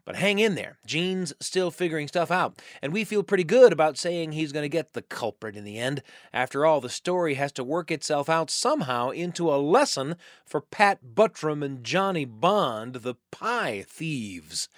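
A man hosting a story program, enthusiastic and playful. His pitch is animated and a little high. The sound is dry and close. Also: moderate pace, dry recording. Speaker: host. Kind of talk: radio show narration.

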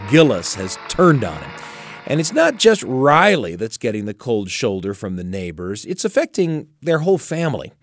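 A man's voice: mid-range voice, no room sound, studio quality. A man hosting a radio show, narrating and recapping a story from a script with dramatic delivery.

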